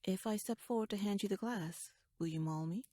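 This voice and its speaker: Breathy, shrewd noblewoman, acted by a woman. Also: medium pitch, dark tone, no echo, lightly acted. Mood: wry, courteous. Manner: wry, courtly, measured, probing. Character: shrewd, teasing young noblewoman, poised royal chancellor. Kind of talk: ASMR audio roleplay, dramatic monologue.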